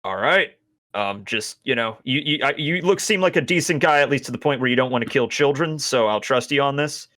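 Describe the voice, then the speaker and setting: A man's voice: medium pitch, fairly quick, a bit bright, no echo, clean studio sound. A man playing a tabletop game, chatting casually with hesitant, stammering restarts. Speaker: player.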